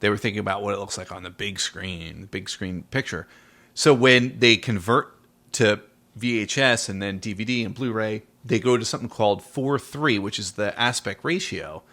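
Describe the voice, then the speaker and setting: A man's voice: on the low side, normal speed, a bit bright. A man co-hosting a casual film discussion, explaining trivia and putting questions to his co-host. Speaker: podcast co-host.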